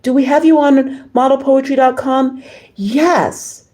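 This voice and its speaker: Mellow, slightly hoarse woman livestreamer. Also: high-pitched, slow pace. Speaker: livestream host. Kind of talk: casual livestream chat, reading viewer comments.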